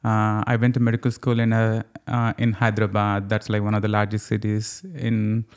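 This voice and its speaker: Low-pitched Indian-accented man, casual. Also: completely flat delivery, moderate pace, clear, resonant tone. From South India. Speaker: podcast guest, cardiologist. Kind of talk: casual conversational storytelling.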